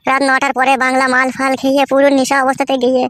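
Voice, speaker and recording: Guy doing a horny lovesick village bachelor, cartoon comedy, high voice, gushes fast and crude. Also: completely flat delivery, pushed and bright, clean tone, no echo. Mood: lustful, yearning. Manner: crude, gushing. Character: lovesick, lustful, foul-mouthed village bachelor.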